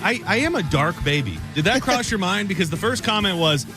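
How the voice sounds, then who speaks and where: A man's voice: sits high, animated, brisk, over music. A man from Michigan hosting a radio show, telling a rambling, self-deprecating story in casual banter.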